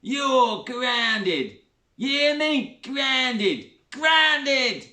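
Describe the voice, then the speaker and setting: Shrill brassy stepmom done by a guy, loud and coarse, way up high, roomy echo. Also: far from the mic, heavily acted. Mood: furious. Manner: shrill, brash, drawn-out oohs. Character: loud coarse spiteful middle-aged stepmother.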